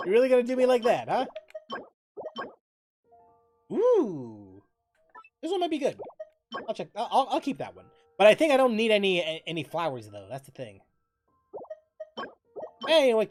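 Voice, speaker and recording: A game streamer chatting casually while playing, thinking aloud, repeating phrases and breaking into loud exclamations. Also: mid-range voice, big pitch swings, moderate pace, long pauses, soft background music. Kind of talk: casual livestream chat and gameplay commentary.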